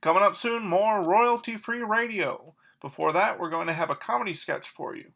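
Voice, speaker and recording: A man's voice: high-pitched, moderate pace, gravelly, fairly bright tone, heavily acted. A man playing an old-time radio announcer and newsreader, hosting a parody of a vintage variety broadcast. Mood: showy, announcerly. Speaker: radio host.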